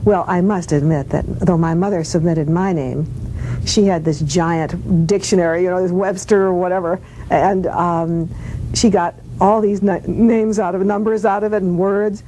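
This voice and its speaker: An older woman, a former radio actress, reminiscing casually in an interview and telling anecdotes in an animated way. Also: normal speed. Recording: noisy with low rumble.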